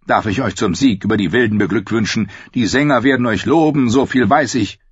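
A man voicing a fawning, nervous lord who flatters a king, in a slightly dull audiobook recording. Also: medium pitch, fairly quick, husky, dry recording, pitched above the performer's own voice, close to an everyday voice. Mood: flattering. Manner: obsequious, eager.

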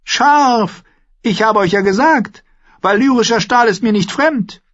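An arrogant boy king from a fantasy audiobook, with a voice pushed very high and swinging widely. He is cruel, excitable and imperious, boasting as he goes. The sound is slightly dull. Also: unhurried, no echo, heavily acted. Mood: haughty. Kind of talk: fantasy audiobook character acting.

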